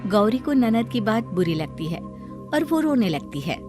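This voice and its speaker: A woman's voice: fairly high pitch, big pitch swings, measured pace, music in the background. A woman narrating a scripted moral story as a storyteller.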